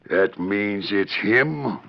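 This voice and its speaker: An actor playing a gruff, bossy, crooked small-town sheriff with a folksy frontier drawl in a 1940s radio western. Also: male voice, fairly low pitch, expressive, drawn-out words, very noisy, heavily acted. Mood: uneasy, scheming. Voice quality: gravelly. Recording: muffled vintage 1940s radio recording.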